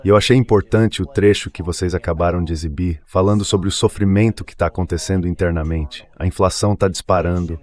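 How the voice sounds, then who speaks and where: A man's voice: sits low, fairly even intonation, quick pace, few pauses, darkish tone. A man voicing the translated answers of an official in a political interview.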